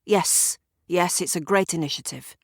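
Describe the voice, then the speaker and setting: A woman's voice: lowish voice, normal speed, dry recording, clean studio sound. A woman voicing a flustered male police inspector, soothing and official, in an audio drama. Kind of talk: audio drama character dialogue.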